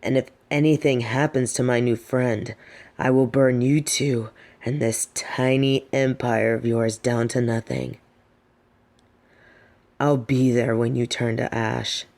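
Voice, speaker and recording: A woman's voice: a bass voice, fairly even intonation, slow pace, a little mellow, heavily acted. A young woman acting in a scripted audio roleplay as a defiant, fed-up adult daughter of a snobby rich family, with a sardonic, emphatic delivery. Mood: angry, defiant.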